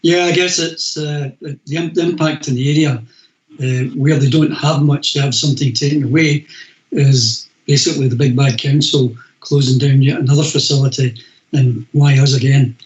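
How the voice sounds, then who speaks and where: A man's voice: mid-range voice, fairly even intonation, normal speed. A man speaking as a councillor in a formal meeting discussion. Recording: echoey, muffled video-call audio.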